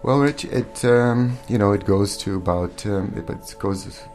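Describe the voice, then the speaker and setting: Deep-voiced, earnest male musician guest. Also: unhurried, a little mellow. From born in Armenia, raised in Montreal. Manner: earnest, hesitant, many ums. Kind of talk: casual interview answers. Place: radio studio. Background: faint ambient music.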